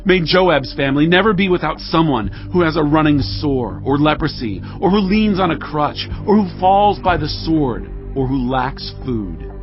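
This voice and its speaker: A man's voice: fairly high pitch, very animated, moderate pace, hardly any pauses. An actor playing a solemn, righteous king who makes stern, weighty proclamations in a dramatized audio Bible. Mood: stern, cursing. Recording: slightly dull sound, music underneath. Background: background music.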